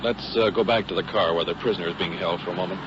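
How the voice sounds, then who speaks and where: A man's voice: low-pitched, fairly even intonation, very fast. A man working as a police recorder, narrating live as an on-the-scene radio host in an old field recording. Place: in and around a police car on patrol. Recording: muffled vintage 1950s radio field recording, heavy noise.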